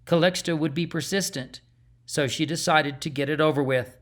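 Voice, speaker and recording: Male narrator, fantasy audiobook, scripted storytelling, measured and dramatic delivery. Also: on the high side, fairly bright tone.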